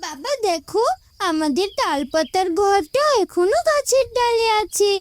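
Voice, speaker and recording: A woman voicing a young, timid village daughter in an animated moral story. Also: very high voice, measured pace, no room sound. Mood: wondering.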